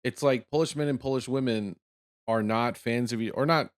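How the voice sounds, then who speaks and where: A man's voice: medium pitch, normal speed, dry recording, studio quality. A man in his late twenties hosting his channel, thinking aloud and rambling as he reacts to clips. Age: 20s. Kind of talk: casual commentary.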